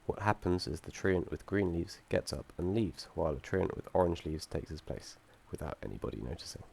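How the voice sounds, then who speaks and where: A young man with a croaky voice from feeling unwell, explaining his game project and code with frequent ums and restarts and the odd dry aside. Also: low-pitched, animated, brisk, a little mellow. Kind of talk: screen-recorded code walkthrough.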